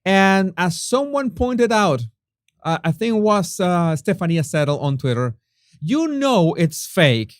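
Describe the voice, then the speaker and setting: A man's voice: sits high, very animated, measured pace, no echo, clean studio sound. A Venezuelan man who speaks English with a Spanish accent, chatting casually with his viewers and restarting his sentences often. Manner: chatty, many restarts and fillers, laughs at own jokes. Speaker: YouTube host. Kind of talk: casual chat reading viewer comments.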